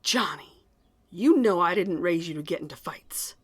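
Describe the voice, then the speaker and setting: Protective mother with animated intonation. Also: on the low side, normal speed, slightly rough. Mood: scolding. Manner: firm, motherly fussing. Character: stern but caring protective mother. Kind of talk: audio drama acting.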